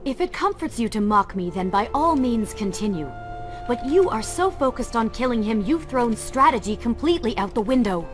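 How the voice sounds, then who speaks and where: An actress playing a brave, proud young princess of India in a video game, stern and challenging, with formal phrasing. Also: female voice, fairly high pitch, expressive, moderate pace, over music, lightly acted. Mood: scolding. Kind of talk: dramatic video game acting.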